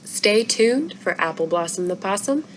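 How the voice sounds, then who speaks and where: A woman's voice: mid-range voice, lively intonation, moderate pace, slight background noise. A woman reading a children's book aloud expressively, as a story-time narrator. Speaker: library story-time reader.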